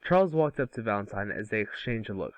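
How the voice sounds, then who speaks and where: A man narrating a script aloud in an amateur table read, with a halting, stumbling delivery. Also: lowish voice, expressive, no room sound.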